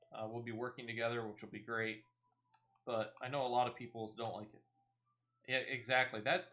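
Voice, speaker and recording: A man's voice: medium pitch, fairly bright tone. A man giving casual, rambling, playful gameplay commentary as a video game streamer.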